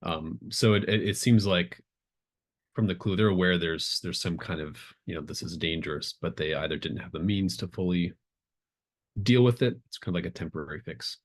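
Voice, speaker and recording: Man, very low voice, game master, improvised narration, conversational, pausing to think, casual. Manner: hesitant, thinking aloud, conversational. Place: remote online group call.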